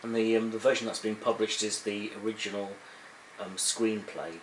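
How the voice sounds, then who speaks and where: A man's voice: on the low side, little pitch movement, normal speed. A man interviewing someone, asking questions in a conversational way. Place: at the interviewee's home, indoors. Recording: roomy, noisy home interview recording.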